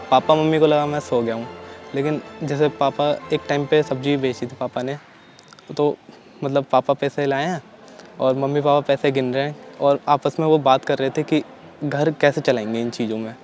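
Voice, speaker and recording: Young man, student, from Faridabad, plain reflective tone, mid-pitched, faint music. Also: steady tone, normal speed. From Faridabad, Haryana. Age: young adult. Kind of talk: casual interview. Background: soft music.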